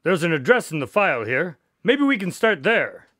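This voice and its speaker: A loyal doctor and detective's sidekick in a radio drama, acted by a man. His male voice is fairly high and moves around a lot, proper and practical with a touch of exasperation. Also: sing-song, moderate pace, a bit bright, no echo, studio quality, exaggerated voice. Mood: businesslike.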